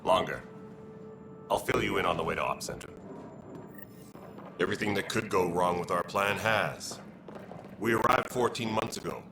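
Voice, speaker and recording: Deep, gravelly militia leader. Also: male voice, moderate pace, pauses often, far from the mic, noisy background. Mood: grim, weary. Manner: terse, grave. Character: weary, grim male space-station militia leader.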